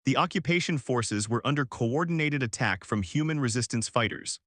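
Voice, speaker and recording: A man's voice: mid-range voice, lively intonation, moderate pace, fairly bright tone, dry recording, clean studio sound. A man reading a dramatic science fiction story as a scripted narrator.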